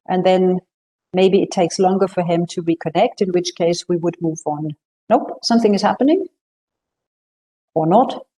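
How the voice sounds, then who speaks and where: A woman moderating an online panel over a video call, upbeat, chatty and brisk as she passes the floor. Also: lowish voice, dark tone, rough recording.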